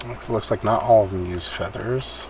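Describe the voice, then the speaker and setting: Male gamer, lowish gravelly voice, casual commentary, thinks aloud, audible background noise on the recording. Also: normal speed, a little mellow. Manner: thinking aloud, muttering step by step. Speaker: gamer hosting a server event.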